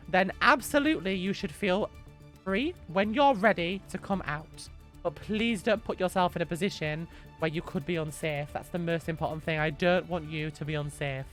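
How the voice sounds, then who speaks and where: A young woman, a little hoarse from being sick, gently answering chat questions on a live stream and repeating her reassuring advice. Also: on the low side.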